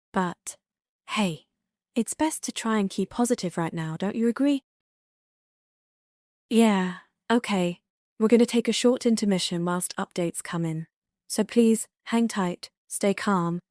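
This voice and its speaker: A woman's voice: medium pitch, a little mellow, no echo, clean studio sound, lightly acted. A woman playing a composed, reassuring TV news anchor in a disaster drama, talking conversationally as if live on air. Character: composed female TV news anchor during a catastrophe.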